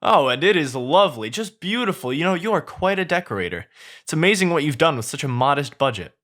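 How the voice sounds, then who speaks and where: A man doing a chatty, high-pitched talking donkey. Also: very animated, quick pace, fairly bright tone. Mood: gushing. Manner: rambling, eager, pleading.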